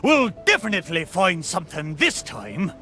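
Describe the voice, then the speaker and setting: A man's voice: high-pitched, huge pitch swings, moderate pace, pushed and bright, faint music behind, full character voice. A man voicing a boastful, good-humored spirit of an old pirate ship in a video game, talking in hearty, swaggering pirate style. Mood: jovial, confident. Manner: hearty, bragging, swaggering pirate talk with "arr". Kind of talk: video game character acting.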